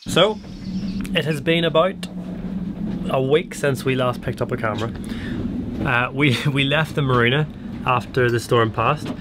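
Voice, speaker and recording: A young man vlogging casually from a small boat cabin, relaxed and wry. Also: mid-range voice. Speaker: sailing vlogger. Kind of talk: casual chat to camera. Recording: noisy background.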